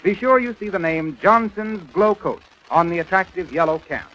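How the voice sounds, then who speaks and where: A man's voice: sits high, expressive, moderate pace, lo-fi sound, music in the background. An American man announcing in a scripted 1930s radio style, on a crackly old broadcast recording.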